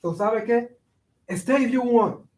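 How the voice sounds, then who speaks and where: Man acting resentful brother, high-voiced, echoey. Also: a little slow, a little mellow. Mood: bitter, accusing. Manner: accusing, repetitive, heated. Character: hurt, resentful younger brother, passionate and accusing. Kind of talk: staged reading of a play. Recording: roomy echo, distant microphone.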